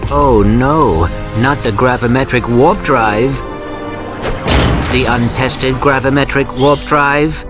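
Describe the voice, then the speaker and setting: An actor voicing a small, polite male robot sidekick with a formal, dry and precise way of speaking, in a game cutscene with loud music. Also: mid-range voice, takes it slowly, natural voice. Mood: worried.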